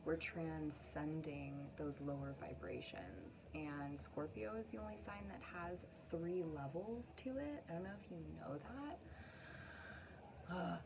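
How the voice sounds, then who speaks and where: A woman with a lowish voice talks casually as an astrologer giving a card reading. She is effusive and affirming, wandering into chatty asides. Steady, noticeable noise sits behind her voice. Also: a little slow. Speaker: astrologer and tarot reader, channel host.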